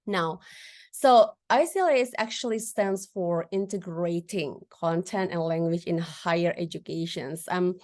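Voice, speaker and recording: Indonesian woman giving a lively talk. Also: fairly low pitch, a little slow. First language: a regional Indonesian language. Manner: lively, frequent fillers and restarts, addresses audience with tag questions. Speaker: guest presenter, lecturer. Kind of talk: webinar presentation.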